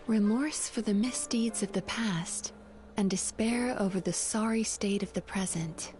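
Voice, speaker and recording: A woman playing a gentle, composed young therapist in a video game, speaking in a measured, soothing manner. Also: mid-range voice, faint music behind, lightly acted. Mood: calm, soothing.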